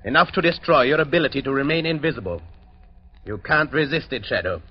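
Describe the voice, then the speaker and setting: An actor playing a cold-blooded, urbane doctor villain in an old-time radio drama, speaking with measured, polite menace and deliberate hesitations. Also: male voice, medium pitch, husky, close to an everyday voice. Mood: gloating threat. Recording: dull, noisy old radio recording.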